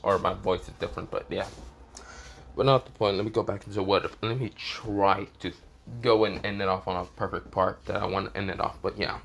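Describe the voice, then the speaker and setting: A man who is sick and coughing, telling a fan fiction story in a rambling, halting way, often restarting with "this is where, well". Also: sits low, fairly even intonation, creaky, fairly bright tone, slight background noise.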